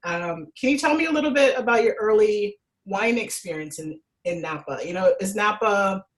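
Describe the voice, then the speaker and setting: A woman's voice: mid-range voice, lively intonation, moderate pace, poor audio. A woman originally from Jamaica, a sommelier hosting a casual interview, asking friendly, winding questions full of asides. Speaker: sommelier host.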